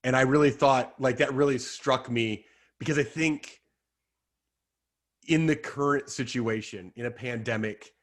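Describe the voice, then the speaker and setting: Brief: man, camp director guest, sincere and thoughtful, mid pitch. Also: unhurried. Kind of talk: interview answer.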